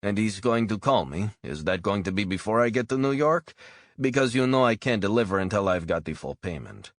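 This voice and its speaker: A man voicing a calm, confident male hired operative on a phone call. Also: fairly low pitch, brisk, no room sound, clean studio sound, pitched above the performer's own voice. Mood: assured. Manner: calm, assured.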